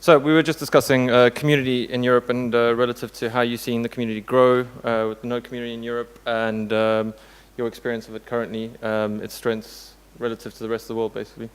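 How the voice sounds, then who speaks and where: A man's voice: medium pitch, level tone, quick pace, smooth. A man moderating a conference panel in a casual, joking, informal way. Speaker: panel moderator, tech company CTO. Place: indoor conference hall. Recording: hall reverb from conference room sound system.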